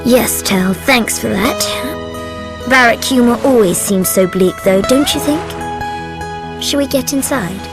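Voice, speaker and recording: An actress playing an eager, sprightly young princess adventurer in a video game, bubbly and teasing. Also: female voice, on the high side, slow, music in the background. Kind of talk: video game cutscene acting.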